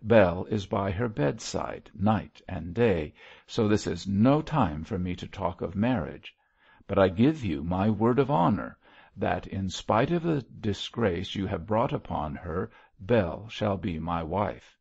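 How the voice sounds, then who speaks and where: An earnest young gentleman parson from a storybook, played by a male narrator. He speaks formally and piously, with a nervous edge and lively swings of pitch in a slightly husky voice. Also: a little slow, highs cut off, exaggerated voice. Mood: solemn, earnest.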